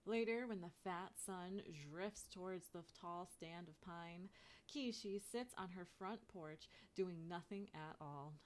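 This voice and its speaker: A woman reading a children's picture book aloud in an expressive way, turning sing-song on chants. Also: on the low side, measured pace. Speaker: storybook reader.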